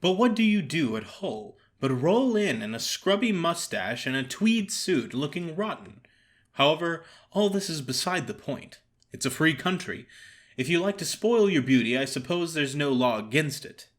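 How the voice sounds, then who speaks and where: A man's voice: fairly high pitch, sing-song, normal speed. A man playing a breezy, cajoling theatrical fellow who talks in an emphatic, teasing, persistent way for an audio drama. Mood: teasing, indignant.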